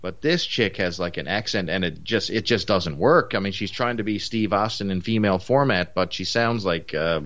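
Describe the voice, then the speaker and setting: A man's voice: deep voice, expressive, normal speed, few pauses, fairly bright tone. A man from Newfoundland with a Newfoundland accent, chatting casually on a podcast in an opinionated, rambling way. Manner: opinionated, rambling, frequent fillers. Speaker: podcast co-host. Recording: muffled lo-fi audio.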